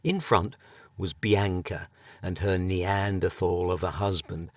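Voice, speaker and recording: Low slow male narrator, dry close studio sound, measured storytelling. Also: fairly even intonation, dry recording. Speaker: audiobook narrator. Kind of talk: scripted narration.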